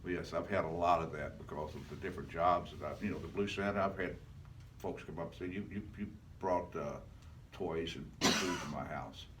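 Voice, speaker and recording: A man in his seventies, a retired police officer, reminiscing in a casual interview in a halting way, repeating words and restarting. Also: deep voice, fairly bright tone. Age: 70s. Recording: distant mic, faint background noise.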